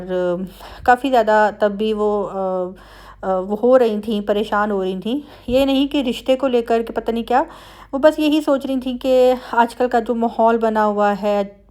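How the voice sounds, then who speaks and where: A woman's voice: mid-range voice, darkish tone. A woman with a Pakistani Punjabi accent talking in a chatty, conversational way, as in a casual family vlog voice-over. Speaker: family vlogger.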